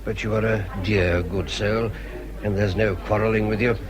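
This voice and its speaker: An actor playing a kindly, courteous naval captain in a period literary drama, affable and gentlemanly. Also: male voice, on the low side, steady tone, normal speed, natural voice. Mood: genial. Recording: busy crowd chatter behind.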